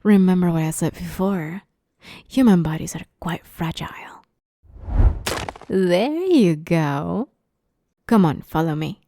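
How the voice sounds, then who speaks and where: A woman playing a playful, smug, menacing vampire interviewer in an ASMR roleplay, teasing and drawling, often slipping into breathy whispers. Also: on the low side, expressive, takes it slowly, pauses often, mellow, no echo. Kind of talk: ASMR roleplay, scripted monologue.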